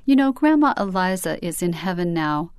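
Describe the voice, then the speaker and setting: A woman reading a storybook, voicing a kind mother who speaks gently and soothingly. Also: on the low side, very animated, normal speed, smooth, dry recording, clean studio sound. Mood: tender. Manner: warm and soothing.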